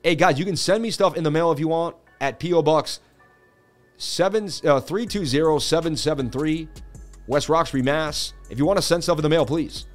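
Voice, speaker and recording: A man's voice: a little high, normal speed, soft background music. A man hosting a casual crypto live stream, rambling and laughing a lot.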